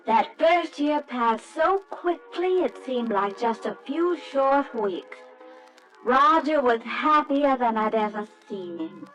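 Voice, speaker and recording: Casting: young adoptive mother, 1940s radio drama style. Fretful, affectionate, very animated high-ish delivery. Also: takes it slowly, faint music behind, exaggerated voice. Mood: tender, reflective. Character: anxious, tender young wife and adoptive mother. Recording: noisy old radio drama recording.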